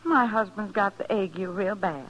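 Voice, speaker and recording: A woman's voice: mid-range voice, normal speed, fairly bright tone, natural voice. An American woman acting in an old-time radio western as a folksy, fretful storekeeper's wife with a homespun, fussing manner. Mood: worried. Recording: dull vintage radio recording.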